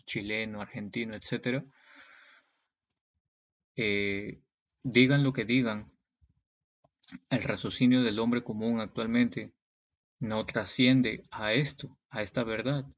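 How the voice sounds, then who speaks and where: A man teaches from scripture in a gravelly, creaky voice. He keeps a fairly flat, mid-pitched line as he reads verses and explains them, adding short check-in tags. The recording is dull and lo-fi. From Peru. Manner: didactic, punctuated with check-in tags like "okay" and "right". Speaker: preacher, Bible teacher. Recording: dull, lo-fi sound.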